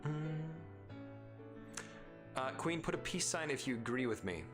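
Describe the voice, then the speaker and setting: Brief: man, Arizona accent, casual streamer banter, very lively pitch. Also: measured pace, over music. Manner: playful, teasing, rambling jokes. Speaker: live streamer host. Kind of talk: casual chat with viewers.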